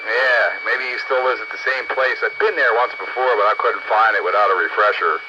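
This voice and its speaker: A man's voice: on the low side, brisk, noisy background. Man on muffled, staticky radio. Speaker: amateur radio hobbyist. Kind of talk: casual chat over two-way radio. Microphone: radio transceiver microphone.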